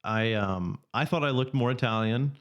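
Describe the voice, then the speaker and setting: A man's voice: medium pitch, moderate pace, dull audio. A young man co-hosting a podcast, chatting casually and rambling with half-finished sentences and laughs. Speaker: podcast co-host. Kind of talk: casual chat.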